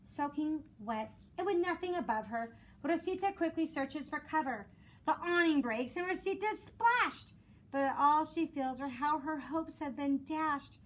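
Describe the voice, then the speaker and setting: A woman who teaches elementary school reads aloud expressively for children in a measured storytelling way. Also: sits high. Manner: measured storytelling with rhymed cadence. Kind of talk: expressive children's picture book read-aloud. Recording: clear background noise.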